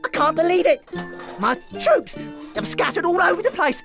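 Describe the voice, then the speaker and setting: An actor playing a cowardly, panicky, whining duke with a stammering delivery in a video game cutscene. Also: male voice, extremely high pitch, sing-song, normal speed, over music, exaggerated voice. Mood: despairing panic.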